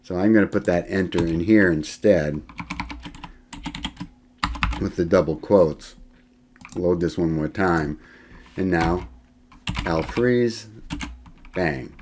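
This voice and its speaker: A male college instructor giving a screen-recorded software lecture, talking in a relaxed way as he demonstrates and thinks aloud, with fillers and self-corrections. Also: low-pitched, slow, pauses often, noisy background. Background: keyboard typing.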